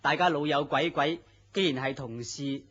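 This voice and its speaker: An actor playing a smitten, mild young office employee in a Hong Kong Cantonese radio drama, polite and earnest. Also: male voice, a little high, moderate pace, fairly bright tone, slightly rough. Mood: dreamy, defensive. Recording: muffled lo-fi audio with faint noise.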